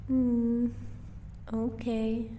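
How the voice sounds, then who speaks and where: Playful female vampire spouse, voiced by a woman, audio roleplay: higher pitch, dark mellow tone, gentle teasing manner. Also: level tone, very slow, far from the mic, a little hiss or hum. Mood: pleading, casual. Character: sweet, playful female vampire, doting wife.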